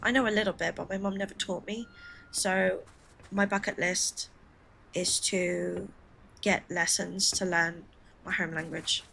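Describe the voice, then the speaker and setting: A woman's voice: on the low side, fairly even intonation, unhurried, gravelly, bright, forceful tone, slight background noise, rough recording. A woman from the Philippines streaming a game from home, chatting with viewers in a rambling, chatty way with laughing asides. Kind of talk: casual gameplay chat with viewers.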